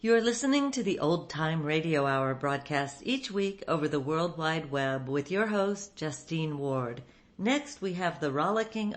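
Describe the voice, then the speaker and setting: A woman radio host reading a scripted introduction to vintage programmes. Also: low voice.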